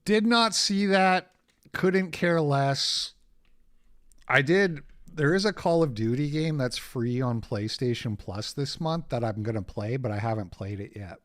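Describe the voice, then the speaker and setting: A man with a raspy voice hosting a casual livestream, rambling, sarcastic and quick to get irritated. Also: mid-range voice, expressive. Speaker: host.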